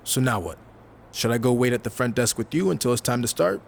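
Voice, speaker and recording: A man's voice: medium pitch, expressive, brisk, vocal fry, a little hiss or hum. A man voicing a self-assured, sardonic young rookie wrestler in a video game cutscene. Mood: casual. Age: young adult. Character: cocky, wisecracking young male rookie wrestler.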